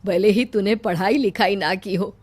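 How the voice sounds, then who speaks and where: A woman's voice: mid-range voice, normal speed, darkish tone, lightly acted. A woman voicing a kindly older mother-in-law in a cartoon story, motherly, reassuring and encouraging. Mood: affectionate. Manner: motherly, plain-spoken, encouraging.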